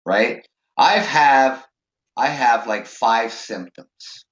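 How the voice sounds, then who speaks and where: A man's voice: medium pitch, animated, drawn-out words, a bit bright, roomy sound, lo-fi sound. A man from Canada hosting a casual call-in show, talking in a rambling way with lots of fillers while nursing a sore, raw throat. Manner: rambling, many fillers and restarts. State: sore, raw throat, holding back a cough. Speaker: show host.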